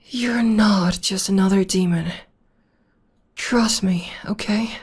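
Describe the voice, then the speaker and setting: Soothing young witch girlfriend, voiced by a woman, breathy slow half-whisper, close mic ASMR. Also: medium pitch. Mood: tender, reassuring. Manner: soft half-whispered comforting. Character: gentle young witch girlfriend, devoted, reassuring. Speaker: voice actor. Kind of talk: ASMR audio roleplay.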